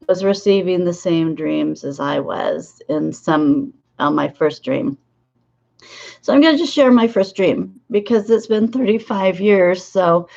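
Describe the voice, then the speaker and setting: Slow, gravelly-voiced hoarse woman. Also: low-pitched, darkish tone, highs cut off. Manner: halting, with many filler pauses. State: hoarse, losing her voice. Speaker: psychic sharing dream visions. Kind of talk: casual to-camera monologue.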